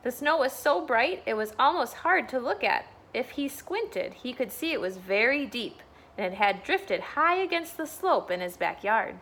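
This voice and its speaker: A woman's voice: fairly high pitch, expressive, moderate pace, fairly bright tone. A woman, a school staff member, reading a bedtime storybook aloud for children.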